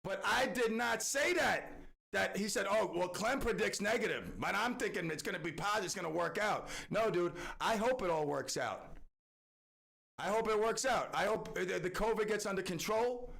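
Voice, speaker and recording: A man's voice: high-pitched, animated, normal speed, creaky, a bit bright. A middle-aged man hosting a talk radio show, ranting in a boastful, theatrical way. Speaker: radio host.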